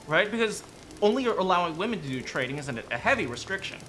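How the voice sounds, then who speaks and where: A man talks in a loose, rambling way, circling back on himself and tagging lines with questions. His voice sits high and swings widely in pitch. It sounds bright over faint background music. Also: very animated, fast. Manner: rambling, frequent restarts and "right?" tag questions. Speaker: streamer discussing game lore with chat. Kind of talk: casual rambling chat.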